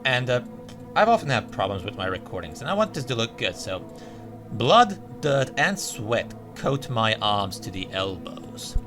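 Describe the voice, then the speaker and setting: A man's voice: mid-range voice, very animated, a little slow. A man hosting a let's play, chatting casually and reading the game's text aloud. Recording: music behind the voice. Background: game's guitar music.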